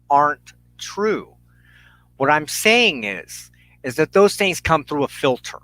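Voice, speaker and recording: A man delivers an impassioned, sermon-like monologue at an unhurried pace. His voice sits a little higher than average, and he speaks deliberately, repeating phrases for emphasis and leaving dramatic pauses. The sound is close and dry, with hardly any room in it. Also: fairly bright tone, dry recording, poor audio. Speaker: preacher and host of a spiritual show.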